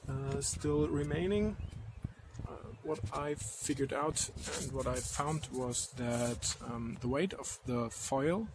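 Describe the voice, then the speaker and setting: A young man vlogging outdoors by a harbor into a handheld camera, explaining his model boat slowly and searching for words. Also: mid-range voice. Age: young adult. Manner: slow, searching for words, non-native phrasing. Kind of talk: casual vlog explaining to camera. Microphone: action camera with furry windscreen mic held close. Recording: outdoor wind and traffic noise.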